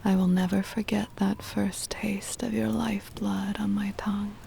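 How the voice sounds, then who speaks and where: A woman playing a possessive, doting aristocratic vampire mistress in an ASMR roleplay, whispering breathily in a slow, formal, soothing manner close to the microphone. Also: medium pitch, flat delivery, warm tone, slight background noise. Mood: possessive longing. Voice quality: breathy whisper with creaky fry.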